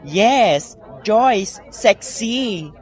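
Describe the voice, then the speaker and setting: A man's voice: high voice, animated, drawn-out words, faint music behind, full character voice. A man with an Ilocano accent playing a sassy, grumbling parlor employee in a comedy drama. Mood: teasing.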